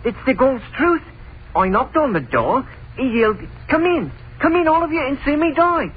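A nervous witness with an extremely high voice that leaps about. He is excitable and defensive, in old radio style, on a tinny recording. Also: moderate pace, slightly rough, full character voice. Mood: excited. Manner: excitable, protesting innocence. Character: nervous, defensive male witness questioned after a death. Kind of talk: old-time radio acting.

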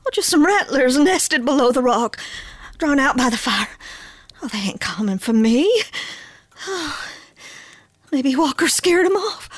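A frontier wife coming apart with fear in a radio drama. Her high voice swings widely over faint music, with a rural American accent and very drawn-out, halting words. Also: dry recording, full character voice. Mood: fearful muttering. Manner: slow, halting, folksy speech. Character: terrified frontier wife unravelling from dread into madness. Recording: music behind.

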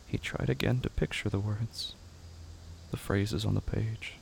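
A man narrating scripted horror fiction in a measured, somber, deliberate manner. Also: lowish voice, fairly even intonation, gravelly, a little hiss or hum.

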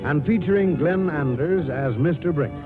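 A man's voice: a little high, normal speed. A man reading a scripted announcement as the announcer of a 1940s radio program. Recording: tinny old radio broadcast. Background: music.

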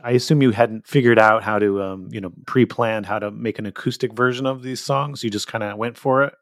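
Curious podcast host, dry sound. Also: male voice, fairly low pitch, fairly even intonation, brisk, dry recording. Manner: curious, asks follow-up questions with fillers. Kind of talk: casual interview questions.

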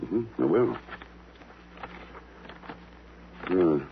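An actor playing a cool, curt American secret agent with a dry, clipped delivery in a 1950s radio drama. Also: male voice, deep voice, big pitch swings, a little slow, exaggerated voice. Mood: dry, probing. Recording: dull noisy old radio recording.

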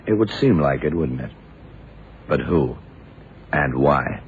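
An actor playing a shrewd, laconic detective in an old radio drama, deliberate and probing in manner. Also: male voice, very deep voice, lively intonation, heavily acted. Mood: pondering. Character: shrewd, laconic male detective puzzling out a murder. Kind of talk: 1960s-70s radio drama acting. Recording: muffled, noisy old radio recording.

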